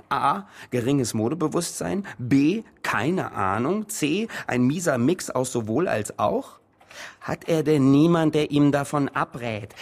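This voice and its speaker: A man's voice: on the high side, breathy edge, full character voice. An actor playing a self-regarding, scornful man in a satirical radio play, speaking in emphatic, mocking rhetorical phrases.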